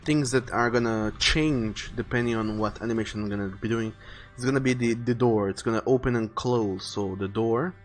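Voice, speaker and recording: A man's voice: medium pitch, moderate pace. A man streaming casually, rambling and rephrasing as he thinks his plans aloud. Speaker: live streamer illustrating a visual novel. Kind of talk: casual livestream chat, thinking aloud while planning artwork. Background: music.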